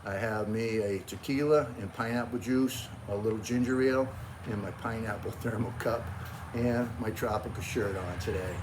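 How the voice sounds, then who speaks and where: A man's voice: mid-range voice, noisy background. A man in his sixties with a Boston accent, chatting casually to camera about grilling in his backyard, in a folksy, friendly way. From Cape Cod, Massachusetts. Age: 60s. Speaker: grilling vlogger.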